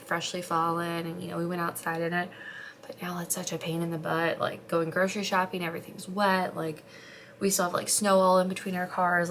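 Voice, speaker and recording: A young woman vlogging as a stay-at-home mom, chatting casually and rambling with lots of "like", her voice slightly hoarse and congested after being sick, sometimes dropping to a soft near-whisper. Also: fairly low pitch, a little hiss or hum. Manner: chatty, rambling, heavy use of "like". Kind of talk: casual chat to camera. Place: home, getting ready.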